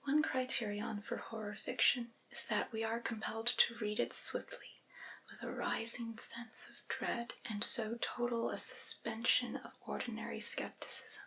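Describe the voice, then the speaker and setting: A woman reads aloud in a breathy whisper with a crisp, bright edge. Her delivery is measured and even, staying within a narrow range in an ASMR style. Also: a little high. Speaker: ASMR performer reading aloud. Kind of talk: whispered ASMR reading of a literary essay.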